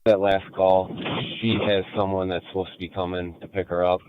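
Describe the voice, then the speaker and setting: A man's voice: low-pitched, little pitch movement, moderate pace. A man in the field giving a report over a muffled, noisy two-way radio.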